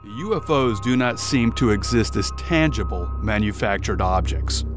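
A man in a serious, measured documentary narration. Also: on the low side, lively intonation, music in the background.

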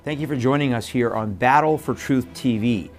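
Middle-aged host, from Iran, mid-pitched, emphatic delivery, lots of uh's and restarts. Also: male voice, moderate pace, soft background music. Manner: emphatic, repetitive, with many fillers. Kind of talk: earnest monologue to camera.

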